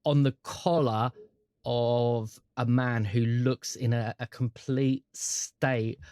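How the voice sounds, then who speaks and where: A game master narrating a tabletop roleplaying game in measured, descriptive, halting phrases. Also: mid-range voice, steady tone, a little mellow, clean tone, no echo, clean studio sound.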